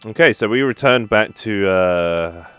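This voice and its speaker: Low-voiced man commenting on a game. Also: a little slow, fairly bright tone, clean tone. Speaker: game streamer. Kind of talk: casual playthrough commentary. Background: game dialogue and music.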